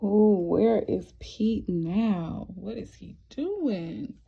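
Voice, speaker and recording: A woman teacher reading a picture book aloud to young children, expressive and encouraging, often asking the listeners questions. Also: medium pitch, very slow, creaky, slightly rough. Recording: dull, muffled lo-fi sound.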